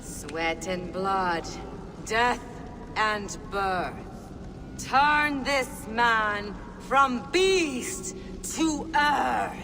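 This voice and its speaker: A woman's voice: a little high, lively intonation, extremely slow, heavily acted. An actress playing a fierce pagan witch and seer in a video game, solemn and defiant, with an incantatory delivery. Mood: ritual chanting. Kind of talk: dramatic video game acting. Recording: long reverb, noisy ambience behind.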